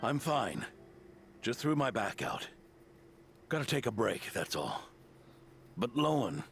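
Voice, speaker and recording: An actor playing a weary, caring aging mentor in a video game. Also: male voice, medium pitch, big pitch swings, slow pace, vocal fry, music in the background, exaggerated voice. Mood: tired, concerned.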